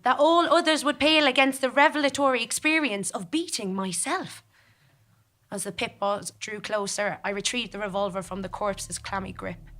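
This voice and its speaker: A woman with a bright, forceful voice at an average pitch tells a story in the first person. Her delivery is deliberate and formal, pitched as dramatic stage narration. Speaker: monologue performer. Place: theatre stage.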